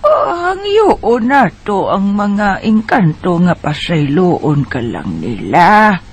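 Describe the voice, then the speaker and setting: A man's voice: high voice, huge pitch swings, husky, exaggerated voice. A man with a Bisaya Filipino accent playing a solemn, admonishing village folk healer in a radio drama. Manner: drawn-out, admonishing.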